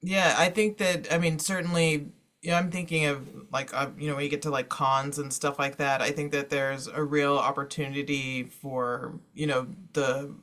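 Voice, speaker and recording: A man with a fairly high voice chats as a panelist. He talks casually and haltingly, circling his ideas and padding them with filler phrases as he thinks aloud. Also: little pitch movement, bright, forceful tone. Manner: halting, circling, full of 'you know' and 'like'.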